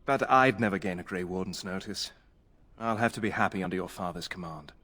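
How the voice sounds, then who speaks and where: A man's voice: sits low, fairly quick, sharp tone, in a large hall. A man playing an earnest, courteous young squire who dreams of heroism, in a video game. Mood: earnest, eager.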